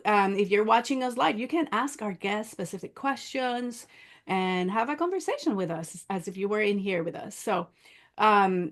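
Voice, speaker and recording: A woman co-hosting a livestream, addressing viewers conversationally in measured phrases with some restarts. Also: mid-range voice, fairly bright tone. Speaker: co-host.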